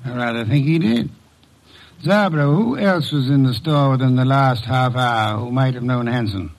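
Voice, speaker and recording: An actor playing a haughty, erudite middle-aged detective boss in an old radio drama, pompous and curt, with sardonic, precise speech. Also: male voice, medium pitch, moderate pace, lightly acted, rough recording. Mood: probing. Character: gruff, haughty, erudite male detective boss, orchid lover.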